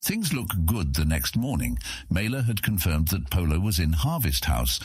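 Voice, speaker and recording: Very deep-voiced male narrator, husky and dry. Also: very animated, normal speed, dry recording. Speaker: audiobook narrator. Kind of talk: scripted fiction narration.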